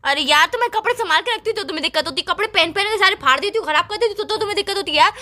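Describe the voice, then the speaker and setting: A woman's voice: very high voice, lively intonation, racing, sharp tone, heavily acted. A woman, playing an indignant, pouty girlfriend who scolds and argues in an acted phone-call comedy. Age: 20s.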